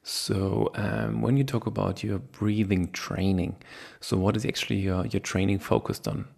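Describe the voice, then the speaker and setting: Podcast interviewer, man, English. Lower register, gravelly fry, conversational questioning. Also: steady tone, moderate pace, darkish tone. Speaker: podcast host. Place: online call.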